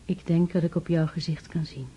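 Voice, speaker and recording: An actress playing a grave, devout woman keeping vigil by the dying, speaking solemnly and in hushed tones in a radio drama. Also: deep voice, little pitch movement, fairly quick, breathy edge. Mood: grave resignation. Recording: dull, muffled, noisy old recording.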